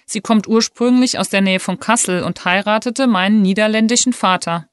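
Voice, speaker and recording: Woman, mid pitch, careful clear textbook read, dry booth sound. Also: moderate pace, no echo. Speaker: reader of a listening exercise. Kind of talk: scripted reading of a textbook listening text.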